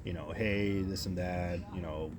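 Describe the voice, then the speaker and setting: A man in his thirties from Croatia, answering interview questions in a relaxed way and often saying "you know" and "right?". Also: deep voice, unhurried, background noise. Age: 30s.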